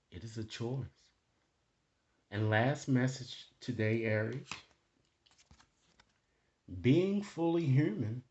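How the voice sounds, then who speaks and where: A man hosting a tarot reading, giving spiritual guidance straight to the listener in a deliberate, emphatic manner. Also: on the low side, darkish tone, muffled recording. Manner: deliberate, emphatic, with frequent check-in tags like "okay?". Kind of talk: spiritual guidance reading, addressing viewers directly.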